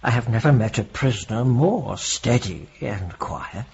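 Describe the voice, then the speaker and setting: An actor playing a reflective, gently probing prison chaplain with a husky, gravelly voice in a radio drama. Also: male voice, mid-range voice, expressive, measured pace, highs cut off, noisy background. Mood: reflective, earnest.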